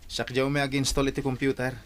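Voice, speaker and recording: A man acting a courteous young technician in an Ilocano radio drama. He has a medium-pitched voice and an apologetic, deferential manner. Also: completely flat delivery, fast, lightly acted. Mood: polite.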